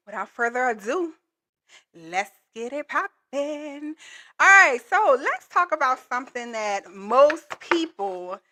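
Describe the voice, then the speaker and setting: A woman with a high, bright and forceful voice leads a livestream lesson with lots of energy. She stays playful and chatty and talks straight to her viewers. She keeps her audience moving along like a coach. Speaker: government contracting coach.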